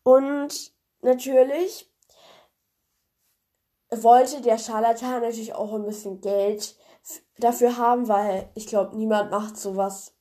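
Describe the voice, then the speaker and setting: A woman's voice: a little high, fairly even intonation, takes it slowly, long pauses, warm tone. A young woman hosting a podcast, chatty and rambling with many fillers, her voice nasal and congested from a cold as she retells a fairy tale. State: stuffy cold. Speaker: podcast host.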